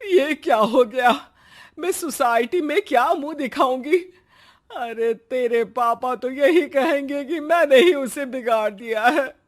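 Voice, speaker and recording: A woman's voice: sits high, measured pace. A woman playing an anxious, naive middle-aged mother in a moral story, fretful and protesting. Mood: shocked worry. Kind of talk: acted moral story dialogue.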